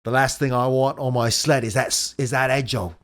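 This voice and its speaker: A man's voice: mid-range voice, fairly even intonation, fairly quick, fairly bright tone, natural voice. A man voicing a brash, mocking weasel mercenary with an unconvincing Australian accent that slips into Cockney, as in a comic-book read-aloud. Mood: defiant. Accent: Australian, unconvincing, slipping into Cockney.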